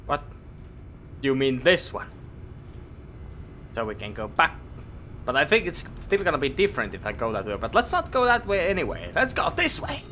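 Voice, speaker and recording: A man streaming a game, hoarse from losing his voice, musing aloud in a puzzled, casual way. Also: a little high, wildly swooping pitch, moderate pace, pauses often, bright, forceful tone, a little hiss or hum. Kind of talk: casual live stream commentary.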